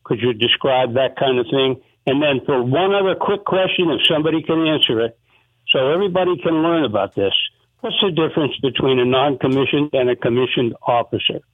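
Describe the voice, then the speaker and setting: A man calling in to a radio show over a tinny, phone-like line. The sound is dry and close, and his voice sits at an ordinary pitch. He rambles politely and keeps thanking the guests. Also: a little fast, dry recording. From Montana. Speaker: caller. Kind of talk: radio call-in question. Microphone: telephone.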